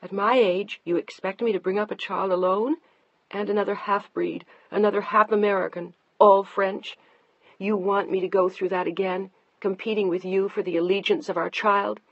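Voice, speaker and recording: A narrator voicing a tearful, defiant middle-aged wife who pleads and shouts, in a slightly muffled dry recording. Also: female voice, moderate pace, no echo, close to an everyday voice. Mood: distraught defiance. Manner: pleading and shouting, high-strung.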